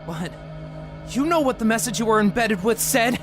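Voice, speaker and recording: A man's voice: high voice, expressive, moderate pace, music in the background, pitched above the performer's own voice. A man voicing an anxious, distrustful protagonist in a sci-fi visual novel, asking wary questions. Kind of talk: visual novel dialogue read aloud.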